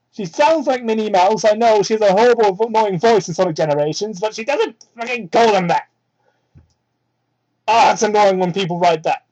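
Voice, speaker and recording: A woman with a husky, unpolished voice reads fan fiction aloud for comedy. She keeps breaking off to heckle the text with exasperated swearing. The recording comes from a cheap, crude mic. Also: darkish tone, highs cut off. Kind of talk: comedic dramatic reading with asides. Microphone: cheap home microphone. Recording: crude, low-quality microphone.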